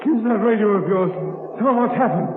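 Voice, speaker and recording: Desperate, wounded small-time crook, high and pleading, 1940s radio melodrama through a phone-thin band. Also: male voice, lively intonation, brisk. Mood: panicked. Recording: tinny old radio recording with hiss.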